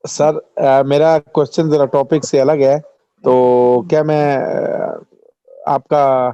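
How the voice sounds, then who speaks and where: A man's voice: mid-range voice, level tone, takes it slowly, warm tone, clean tone, no room sound. A male student politely and hesitantly asking a question in an online class. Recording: dull online meeting audio.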